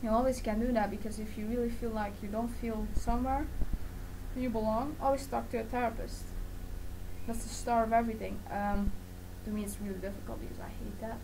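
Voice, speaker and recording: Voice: medium pitch, little pitch movement, a little fast. A young adult vlogger talking casually and personally to camera in a rambling, self-correcting, informal way. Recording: noticeable background noise.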